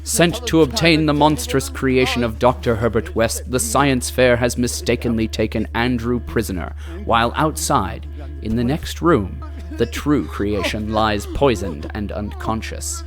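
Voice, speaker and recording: An actor playing a theatrical radio narrator who delivers ornate, melodramatic horror commentary. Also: male voice, mid-range voice, a little slow, natural voice. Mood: grave, arch. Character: theatrical male radio narrator, dramatic and urgently horrified. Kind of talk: old-time radio style horror commentary. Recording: music bed under the voice.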